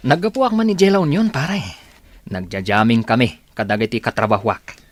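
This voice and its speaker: A man's voice: mid-range voice, normal speed, lightly acted. A man playing an evasive, dismissive young boyfriend in an Ilocano Filipino radio drama. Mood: surprised.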